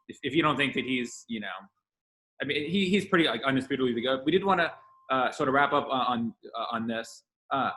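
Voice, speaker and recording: Rapid-talking man with a bright voice. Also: mid-range voice, poor audio. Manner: rambling, halting, stop-start phrasing. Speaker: podcast co-host. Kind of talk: casual sports podcast chat.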